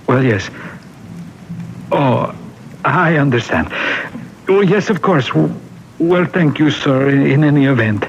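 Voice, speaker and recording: A polite, higher-voiced parish priest. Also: male voice, expressive, slow pace, long pauses. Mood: hesitant, courteous. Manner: polite, hesitant, gently deferential. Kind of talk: old-time radio mystery drama acting. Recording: muffled vintage radio broadcast, background hiss.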